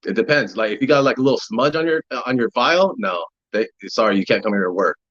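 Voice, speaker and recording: A man speaking as a guest in a casual talk, relaxed and quick to laugh, with many fillers. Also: mid-range voice, no room sound, lo-fi sound. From Taiwan and the United States. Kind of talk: casual conversation.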